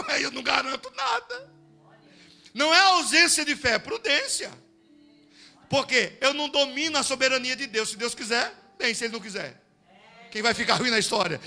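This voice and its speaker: A Brazilian man preaching in church, his voice sitting very high and sounding sharp and forceful. He shifts between loud exhortation and quiet, conversational storytelling to the congregation, with soft music underneath. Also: vocal fry. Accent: Brazilian Portuguese. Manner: animated, swings from shouting to hushed storytelling. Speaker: pastor. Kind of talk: sermon with storytelling. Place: church service.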